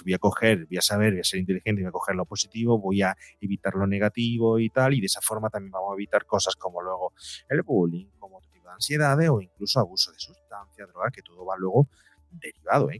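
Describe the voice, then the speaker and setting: A man's voice: on the low side, animated, fast talker, dry recording, faint music behind. A man speaking as an interview guest and psychologist, explaining in a conversational way and voicing imagined quotes to illustrate his points.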